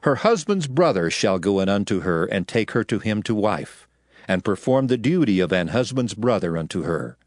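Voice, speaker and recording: A man's voice: fairly low pitch, very animated, normal speed, no echo, clean studio sound. A man playing a solemn prophet and lawgiver, declaiming commandments in archaic phrasing for a dramatized audio Bible. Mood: solemn, commanding. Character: Moses, solemn male lawgiver addressing his people. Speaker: scripture reader in an audio Bible. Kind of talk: dramatized scripture reading. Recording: music under the voice. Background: music.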